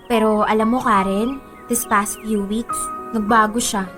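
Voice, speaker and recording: A woman's voice: medium pitch, steady tone, unhurried, a bit bright, faint music behind, natural voice. An actress with a Filipino accent playing a giddy, girlish young bride-to-be in a radio drama, gushing to her friend. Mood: earnest.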